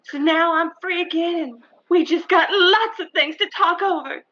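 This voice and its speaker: A sugary blonde femme fatale coos in a very high, bright voice. She is coy and gushing in the manner of old radio comedy, on slightly muffled audio. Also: moderate pace. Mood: breezy, coy.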